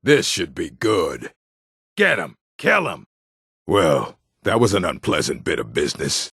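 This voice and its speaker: Video game orc, man, gritty husky voice, forceful edge, blunt, combative, curt. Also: sits low, very animated, measured pace, no echo, clean studio sound, exaggerated voice. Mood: wary, gruff, then angry, threatening. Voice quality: gravelly, rough, husky. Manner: blunt, curt, threatening delivery. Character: gruff, combative adult male orc townsman and merchant. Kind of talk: video game character barks.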